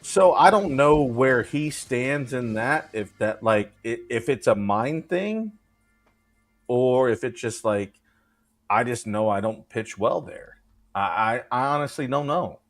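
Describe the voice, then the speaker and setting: A man in his thirties, a former pitcher on a sports panel, talking casually in a blunt, repetitive way. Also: animated, slow pace, faint music behind. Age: 30s.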